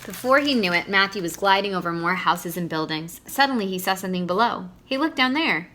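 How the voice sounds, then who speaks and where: A woman reading a picture book aloud to young children in an animated, teacherly way. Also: mid-range voice, a little fast, slight background noise. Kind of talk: expressive children's read-aloud.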